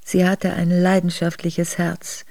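An actress playing an earnest, reflective exiled noblewoman, narrating her memoir in a measured way for a radio drama. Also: fairly low pitch, completely flat delivery, dark tone, no echo. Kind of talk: literary first-person radio drama narration.

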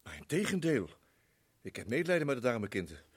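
A husky, evasive suspect. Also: male voice, medium pitch, sing-song, fairly quick. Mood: polite. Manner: polite then flustered, stammering. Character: smooth-talking man hiding guilt, defensive. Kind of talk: radio drama acting.

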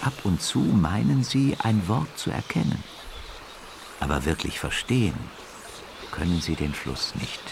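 A man narrating a scripted children's story as an expressive storyteller. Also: low-pitched, measured pace, creaky, background noise. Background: music.

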